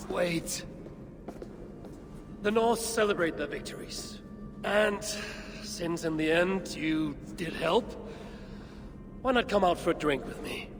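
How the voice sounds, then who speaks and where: A woman's voice: on the low side, slow, pauses often. An actress playing a blunt, dry-humoured Viking warrior woman in a video game, with a teasing manner. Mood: friendly, reassuring. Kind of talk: cinematic video game dialogue. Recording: long hall reverb, music behind.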